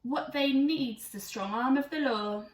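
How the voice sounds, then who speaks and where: A woman's voice: high voice, a little slow, roomy sound, recorded from a distance. A woman voicing a commanding police sergeant giving emphatic rhyming orders in a storybook read-aloud. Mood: urgent, commanding.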